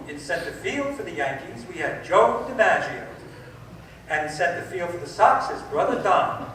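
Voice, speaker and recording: A high-voiced baseball announcer of the 1940s radio days, acted out by a man in a radio play. The sound is very echoey and distant, as if from the back of a hall. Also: fairly bright tone. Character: mid-century baseball radio announcer recapping a game. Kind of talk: 1940s radio sportscast acting. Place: amateur club stage in an echoey hall. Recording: echoey hall from far off, faint noise.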